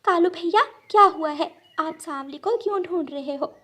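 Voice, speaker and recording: Lady doing a tired worried mama bird, high voice, cartoon, fretting at her kid. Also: moderate pace, fairly bright tone. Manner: weary, admonishing. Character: weary, worried adult mother bird.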